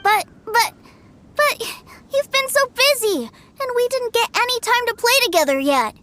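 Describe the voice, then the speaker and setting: A woman voicing an eager, stubborn little boy in a video game, an adoring younger brother with an excitable, wheedling way of talking. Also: very high-pitched, a little slow, slight background noise, heavily acted. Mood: pleading, eager. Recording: music playing behind the voice.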